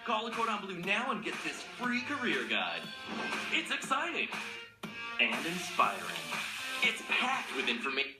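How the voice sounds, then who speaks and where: Ad announcer, man, animated delivery, music bed. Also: high voice, moderate pace, echoey room. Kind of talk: commercial voice-over.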